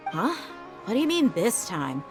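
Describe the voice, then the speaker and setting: A woman's voice: medium pitch, very animated, a little slow. A woman voicing a young, self-assured mercenary swordfighter in an anime video game, direct and protective. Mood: taken aback. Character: confident, protective young female mercenary swordfighter, brisk and frank. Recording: background music behind the voice.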